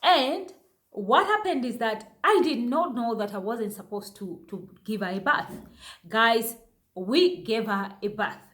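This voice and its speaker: A woman's voice: a little high, a little slow, fairly bright tone. A young woman from Zambia telling viewers about her experience as a new mother, in a chatty way with many fillers and restarts. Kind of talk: casual personal storytelling to viewers.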